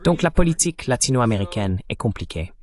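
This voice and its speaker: A man's voice: mid-range voice, big pitch swings, brisk. Man reading a French voice-over translation. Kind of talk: dubbed translation of political commentary.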